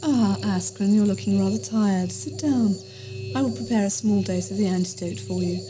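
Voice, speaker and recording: An actress playing a villain's scheming, secretly ambitious assistant in an audio drama, composed, icy and formal. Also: female voice, medium pitch, normal speed, music in the background, natural voice. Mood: coolly mocking. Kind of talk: audio sci-fi drama acting.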